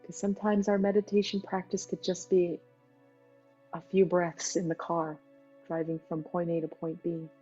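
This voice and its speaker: A woman leading a guided meditation as its teacher, talking in an unhurried way with pauses between short phrases. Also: fairly low pitch, flat delivery, warm tone. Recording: dull lo-fi sound, music behind. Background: music.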